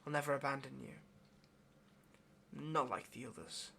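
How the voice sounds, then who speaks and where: A man's voice: medium pitch, moderate pace, creaky, bright, forceful tone, slight background noise. A man playing a lonely, clingy yandere demon in an intimate audio roleplay, speaking tenderly and hesitantly straight to the listener with obsessive devotion. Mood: tender, elated.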